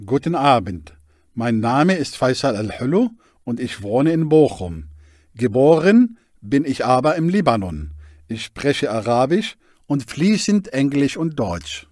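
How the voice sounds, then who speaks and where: A man's voice: medium pitch, big pitch swings, unhurried, a little mellow, dry recording, clean studio sound. A man playing a speaker who introduces himself in a scripted learner exercise. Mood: plain.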